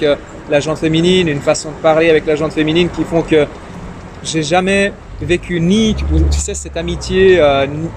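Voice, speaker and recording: A timid young man born in Iran, whose first language is Farsi, speaking in a casual interview with many fillers and repeated words. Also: on the high side. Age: young adult. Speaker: interview guest, young politician. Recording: noisy street and traffic ambience.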